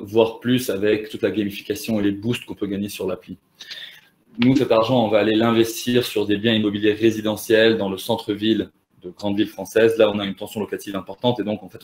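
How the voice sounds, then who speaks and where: Low-voiced man pitching his startup. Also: darkish tone. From Lebanon and Canada. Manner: fluent pitch with frequent hesitations and restarts. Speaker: startup founder. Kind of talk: conversational interview answers.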